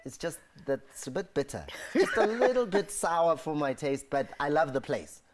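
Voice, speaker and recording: A man's voice: on the high side, very animated, moderate pace, background noise. A man hosting a TV studio interview, asking playful, teasing questions. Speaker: TV talk show host.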